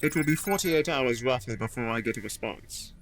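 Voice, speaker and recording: A man playing a dutiful alien second-in-command in a sci-fi audio drama, calm and formal as he reports. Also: mid-range voice, brisk, loud music behind the voice, close to an everyday voice.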